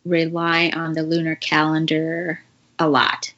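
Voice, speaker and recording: A middle-aged woman from China with an American accent, a guest speaking thoughtfully with frequent pauses and fillers in an interview. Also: deep voice, completely flat delivery, a bit bright, muffled recording. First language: Mandarin. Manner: thoughtful, hesitant, with fillers and laughs. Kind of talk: casual interview.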